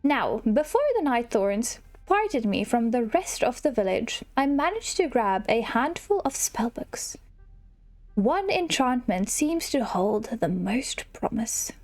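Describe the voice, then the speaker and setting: Woman putting on a high, doddering fairy godmother. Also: big pitch swings, slow pace. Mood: warm, trusting. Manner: doddering, gentle, hesitant. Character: kindly, forgetful, fussing elderly fairy godmother.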